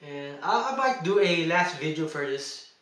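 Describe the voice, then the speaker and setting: A man's voice: fairly high pitch, lively intonation, unhurried, fairly bright tone, far from the mic, slight background noise. A young man, a Tagalog speaker, chatting emphatically in a casual video game review and laughing between points, recorded indoors. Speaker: gaming vlogger. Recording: echoey room, mic set back.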